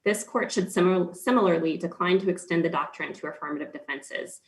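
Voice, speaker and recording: A man arguing for the state before an appeals court, formal and measured, with frequent ums and small restarts. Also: high voice, low-quality recording. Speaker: state's attorney. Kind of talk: appellate oral argument.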